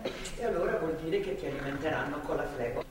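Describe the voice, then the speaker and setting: A woman's voice: low voice, fast talker, natural voice. An actress playing an older, motherly woman guarding a captive in a stage drama, folksy, stubborn and rambling. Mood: cross, stubborn. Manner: folksy, rambling, matter-of-fact. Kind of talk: theatrical stage drama acting. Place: theatre stage, picked up from afar. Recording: echoey hall, distant mic, noisy ambience.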